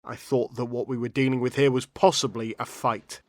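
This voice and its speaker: A man's voice: medium pitch, level tone, moderate pace, no echo, studio quality, close to an everyday voice. A man playing a defensive, formal witness under questioning, clipped and insistent, in a courtroom drama. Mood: firm, irritated.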